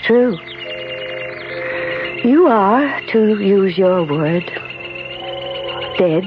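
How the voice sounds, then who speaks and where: An actress playing Death as a gentle motherly woman in a 1970s radio drama, soothing and solemn. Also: medium pitch, lively intonation, very slow. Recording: muffled old radio broadcast with music.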